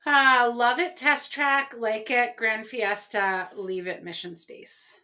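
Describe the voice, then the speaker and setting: A middle-aged woman co-hosting a podcast in casual chat, coughing and losing her voice, giving brisk, decisive answers. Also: a little high, steady tone, bright, forceful tone. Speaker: podcast co-host.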